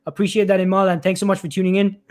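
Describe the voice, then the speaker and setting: A man hosting a live career stream, answering questions in a loose, repetitive way, clearing his throat often as his voice gives out. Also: high voice, little pitch movement, quick pace. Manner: loose, repeats words and restarts often.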